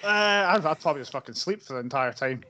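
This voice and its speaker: A relaxed, hesitant man chatting on a podcast, dropping in swears. His voice sits a touch high for a man and comes through slightly dull and lo-fi. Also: fast. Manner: laid-back, hesitant, swears. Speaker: podcast co-host. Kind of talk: casual chat.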